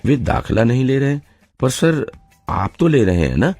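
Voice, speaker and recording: Guy doing a young girl martial arts student, husky, lively pitch, earnest n pleading, very respectful, audio drama. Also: moderate pace, no echo, soft background music. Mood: anxious hope.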